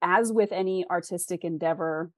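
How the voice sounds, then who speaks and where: Candid, encouraging woman, slightly low, dry sound. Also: moderate pace, no echo. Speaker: interview guest, author and freelance writer. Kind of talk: conversational interview, giving advice.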